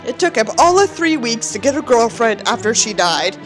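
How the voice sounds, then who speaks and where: Woman acting out a bitter, melodramatic young narrator, high-pitched. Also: big pitch swings, normal speed, faint music behind, low-quality recording. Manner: melodramatic, sneering. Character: bitter, contemptuous, self-pitying young narrator of a novel. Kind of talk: exaggerated dramatic reading.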